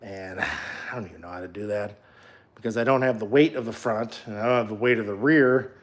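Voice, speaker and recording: A man's voice: fairly low pitch, brisk. A man in his thirties from Minnesota with a Minnesotan accent, chatting casually on a live stream while working in his home garage, rambling and self-deprecating, talking into a phone. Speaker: livestreaming truck builder. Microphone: phone held at arm's length, later set down away.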